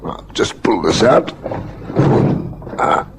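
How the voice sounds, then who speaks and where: Methodical police lieutenant. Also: male voice, fairly low pitch, big pitch swings, slow, creaky, a bit bright, slightly rough, exaggerated voice. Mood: probing, thoughtful. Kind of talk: vintage radio crime drama acting. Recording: noisy old radio drama recording.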